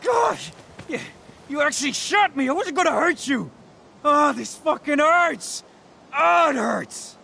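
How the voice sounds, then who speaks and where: An actor with an American accent playing a volatile, defensive dog owner in a video game, talking in a gruff, confrontational, foul-mouthed way. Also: male voice, fairly low pitch, expressive, a little slow, slight background noise. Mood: pained, angry. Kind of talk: dramatic video game voice acting.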